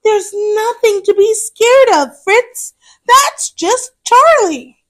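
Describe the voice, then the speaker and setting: A woman's voice: very high voice, lively intonation, slow, voice pushed up, heavily acted. A woman voicing a young girl hippo, an encouraging and reassuring big sister in a children's storybook. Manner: bright, encouraging.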